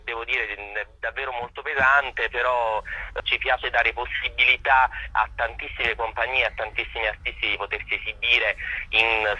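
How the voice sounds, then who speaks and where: A man's voice: medium pitch, sharp tone, husky, no echo. A man giving fluent, detailed answers as a guest in a conversational interview, explaining and promoting an arts programme. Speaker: interview guest, theatre festival artistic consultant. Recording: dull, band-limited radio phone audio.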